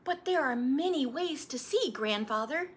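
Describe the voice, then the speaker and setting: An eager young boy as a woman storyteller acts him out, high and lilting, full of insistent questions. The delivery is earnest and animated, like a storybook child, with noticeable background noise. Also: normal speed. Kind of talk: storybook read-aloud.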